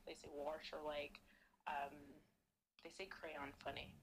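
Low-voiced woman telling a rambling story. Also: flat delivery, measured pace, poor audio. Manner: rambling, fillers, explains earnestly. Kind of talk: casual anecdote.

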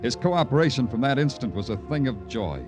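An American actor playing a dry, wry police lieutenant in a 1950s radio crime drama, with clipped, sardonic, deadpan delivery. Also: male voice, mid-range voice, brisk, lightly acted. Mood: wry, measured. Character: dry, wry male New York police lieutenant narrator. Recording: vintage radio recording with music behind.

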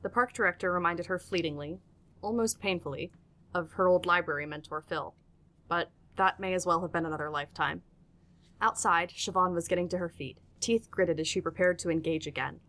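Bright, crisp-edged female storyteller, slightly low. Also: brisk. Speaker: narrator. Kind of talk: scripted audiodrama narration.

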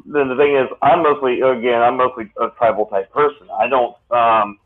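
A man in his late thirties hosting a casual podcast chat, talking in a rambling, halting way with many restarts. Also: mid-range voice, fairly even intonation. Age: late 30s. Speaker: podcast host. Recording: tinny phone-like line.

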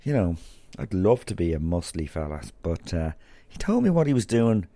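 An Irish man with a very low, dark, mellow voice, talking in a loose, rambling, chatty way. He sounds like a podcast host telling stories. The sound is dry and close, with no room around it. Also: big pitch swings, moderate pace, dry recording. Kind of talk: casual rambling monologue and interview.

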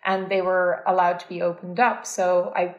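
A woman working as a dance archive curator, telling animated stories in a casual conversation and laughing often as she goes. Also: on the low side, normal speed, roomy sound, lo-fi sound. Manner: animated storyteller, laughs often, restarts phrases.

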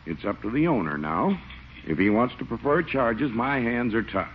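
An actor playing a sentimental, easily swayed small-town police chief in an old radio comedy, blustery and earnest. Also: male voice, medium pitch, lively intonation, moderate pace, lightly acted. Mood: defensive. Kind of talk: 1940s radio sitcom acting. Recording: muffled, noisy old radio recording.

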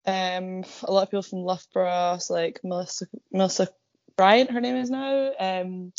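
A Scottish woman in her thirties, an athlete guest in an interview, slightly croaky, talking casually with many pauses and fillers. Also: lowish voice, no echo, muffled recording. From Scotland. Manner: relaxed, many ums, light laughs.

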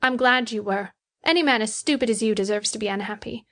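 Lady doing a spirited lovestruck young girl for an audiobook, high voice, stubborn and emphatic. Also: a little fast, natural voice. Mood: reproachful, heartfelt. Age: young adult. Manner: emphatic, earnest. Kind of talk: audiobook character dialogue.

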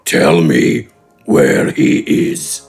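A man voicing a gruff, menacing giant brawler with a gravelly, husky voice, speaking slowly and threateningly in an audiobook. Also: extremely low pitch, animated, soft background music, pitched below the performer's own voice, full character voice. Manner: slow, threatening, deliberate.

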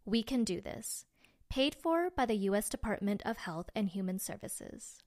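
A woman reading a public service ad in a calm, persuasive way. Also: medium pitch, moderate pace. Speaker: ad announcer.

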